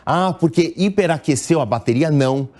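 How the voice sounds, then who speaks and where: A middle-aged man with a Brazilian Portuguese accent giving analytical news commentary as an international editor, measured and explanatory, with small restarts. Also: on the high side, darkish tone.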